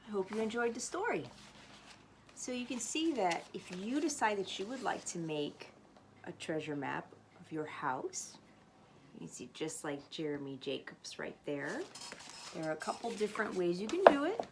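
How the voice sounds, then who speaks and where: Woman storyteller and teacher over clear background noise. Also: mid-range voice, sing-song, normal speed. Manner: instructive, step-by-step explaining. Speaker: teacher reading a storybook and showing a craft. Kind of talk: read-aloud storytelling and casual how-to demonstration.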